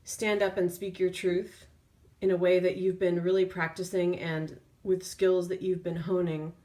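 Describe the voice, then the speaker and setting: A woman tarot reader speaking off the cuff in an intuitive reading, feeling her way through phrases with drawn-out pauses. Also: fairly low pitch, little pitch movement, warm tone.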